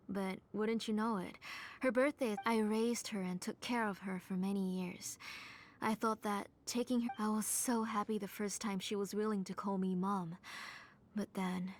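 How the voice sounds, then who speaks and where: A woman's voice: mid-range voice, a little hiss or hum, lightly acted. An actress playing a grieving, obsessive mother in a video game, dramatic and anguished. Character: grieving, obsessive mother, desperate to revive her child.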